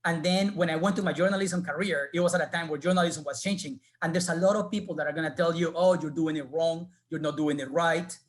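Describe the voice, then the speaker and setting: A man's voice: fairly high pitch, fairly even intonation, fast, few pauses. A man from Colombia with a Colombian Spanish accent, speaking earnestly as an alumnus on a webinar panel.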